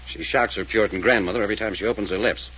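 A man playing a brisk, confident radio detective. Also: on the low side, fairly bright tone, close to an everyday voice. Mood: explaining. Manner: measured, explaining, brisk. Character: calm, confident male detective leader. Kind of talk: 1940s radio acting. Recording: lo-fi old radio transcription with faint hiss.

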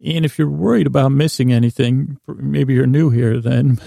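A man hosting a bedtime podcast in a rambling, whimsical way, halting and repeating himself, close to the mic in a small closet. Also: medium pitch, vocal fry, mellow, no echo. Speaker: podcast host. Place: small closet used for recording. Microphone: close mic in a small recording closet.

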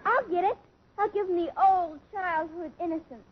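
A cheeky, falsely innocent little girl in an old radio comedy. Her voice is extremely high. The recording is muffled and faintly noisy. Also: normal speed. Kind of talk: 1950s radio comedy acting.

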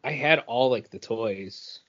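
A man co-hosting a podcast, telling casual nostalgic stories in an animated, slangy way and acting out quotes. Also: medium pitch, takes it slowly, muffled recording. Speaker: podcast co-host. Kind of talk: casual chat, nostalgic anecdotes.

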